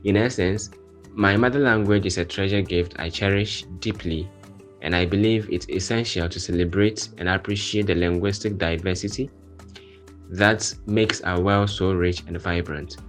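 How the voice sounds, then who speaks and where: A young man in his twenties with a deep, low voice gives an earnest, heartfelt statement, dropping in casual fillers. Faint music plays underneath. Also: fairly even intonation, moderate pace. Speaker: university student. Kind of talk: prepared personal statement.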